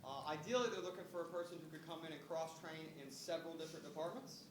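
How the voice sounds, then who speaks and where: Fast, high-pitched man in a noisy room. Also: steady tone. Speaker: recruiting trainer making phone screening calls. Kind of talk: casual sales-style phone script and coaching. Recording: roomy, distant mic, noisy background.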